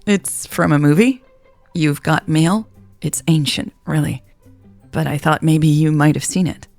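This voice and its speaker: A woman's voice: low voice, steady tone, a little slow, gravelly, darkish tone, dry recording, faint music behind. A woman voicing a shy, wry female bookshop owner who is easily flustered, in a romance audiobook. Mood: nervous rambling.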